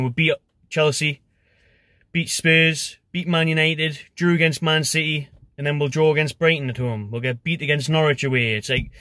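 A young Geordie man talks football straight to his phone from the seat of a parked car, chatty and sure of himself. His voice sits at an ordinary middle pitch. The sound is close and dry. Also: fairly bright tone, no room sound. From North East England. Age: young adult. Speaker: football fan pundit. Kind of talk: casual fan preview to camera. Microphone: phone camera at arm's length.

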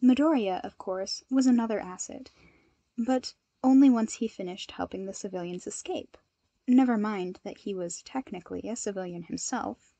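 A woman reading fan fiction aloud as an expressive story narrator. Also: on the high side, slightly rough, no room sound. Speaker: podfic reader.

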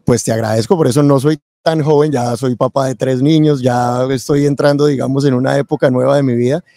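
A man speaks as a guest in a casual interview. His voice is mellow and relaxed, at a middling pitch. The sound is dry and close to the microphone. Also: brisk, creaky, no room sound. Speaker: interview guest, tax lawyer and accountant. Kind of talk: casual interview, telling own story. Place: convention event booth, indoors. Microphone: close boom-arm microphone with headset.

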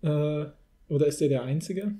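Mellow, higher-pitched male podcast host. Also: moderate pace. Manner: rambling, colloquial retelling. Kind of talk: casual podcast chat.

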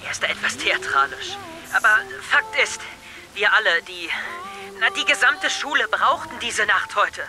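Woman acting idealistic teen in a radio play, earnest, mid pitch, clear music behind. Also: expressive, normal speed, lightly acted. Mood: earnest, pleading. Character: earnest, idealistic teenager defending a radical cause. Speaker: actor. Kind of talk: German audio drama acting. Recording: music under the voice.

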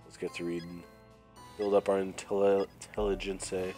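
A man commentating casually while playing a prison game, his voice raspy and nasal from a cold, thinking aloud as he plays. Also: low-pitched, flat delivery, moderate pace, mellow. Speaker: gameplay commentator. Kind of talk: casual let's-play commentary. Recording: faint game music behind.

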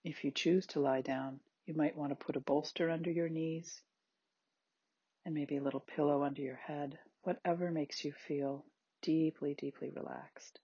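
A meditation guide with a husky, breathy, androgynous voice, giving gentle, unhurried body scan instructions in short spaced phrases. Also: mid-range voice, steady tone, dry recording, dull audio. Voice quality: very husky, breathy, at times near-whispered.

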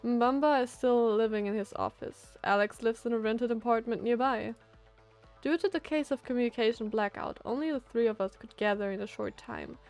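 A mild young male civil servant from a visual novel, read by a female streamer. He sounds tired and polite, explaining things in a light, high voice. Faint music is behind. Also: moderate pace, darkish tone, natural voice. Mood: apologetic explaining.

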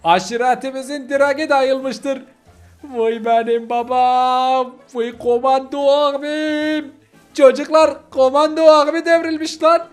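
A man puts on a melodramatic dog from a village clan, high in pitch with a rural Turkish accent. The delivery is lamenting and drawn out, like a storybook mourner. Music plays faintly behind. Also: a little slow. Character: dialect-speaking clan dogs wailing melodramatically over their fallen leader.

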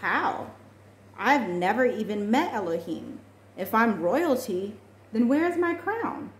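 A woman's voice: on the high side, sing-song, slow, full character voice. A woman voicing a curious, eager and confused young boy in a storybook. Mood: doubtful. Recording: echoey room with faint noise.

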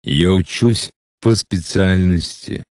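A low-pitched reader sounds out short phrases at a very slow, deliberate pace. Each word is enunciated with care for beginners. The recording is dry and close, with no room sound. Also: a little mellow, dry recording. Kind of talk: slow scripted phrase reading.

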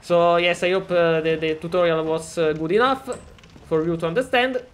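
A man's voice: a little high, moderate pace. A man from Italy speaking English with an Italian accent, talking through a game tutorial in a hesitant, stop-start way with lots of fillers. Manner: hesitant, many fillers and restarts, self-deprecating. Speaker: gaming tutorial maker. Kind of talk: casual screen-recorded tutorial.

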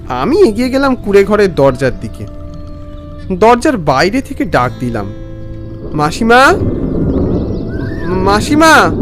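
A man voices a polite, hesitant townsman narrating a cartoon tale. His pitch is high and swings widely as he moves between dramatic narration and dialogue. Music plays clearly behind him. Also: exaggerated voice. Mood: hesitant, puzzled. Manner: expressive, dramatic, earnest. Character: polite, hesitant townsman recounting a strange afternoon. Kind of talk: scripted story narration with acted dialogue.

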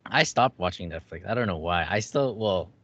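A man's voice: sits low, normal speed, no echo, highs cut off. A young man hosting a podcast from home, chatting casually and asking curious questions, with now and then a casual curse. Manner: relaxed, curious, swears casually. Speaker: podcast host. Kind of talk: casual video-call chat. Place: home gaming setup, indoors. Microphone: headset with desk mic.

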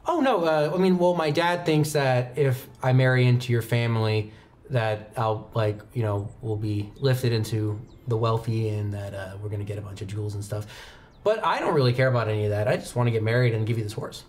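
Man playing a quiet, awkward stable boy. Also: mid-range voice, a little fast, lightly acted. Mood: awkward earnest. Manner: hesitant, guileless.

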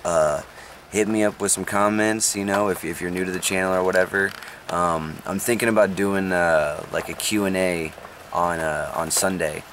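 Young guy talking low and loose in his van, lots of ums and likes, faint rain noise behind. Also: fairly bright tone. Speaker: vlogger. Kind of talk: casual talk to camera. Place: inside a parked van. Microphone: camera close up.

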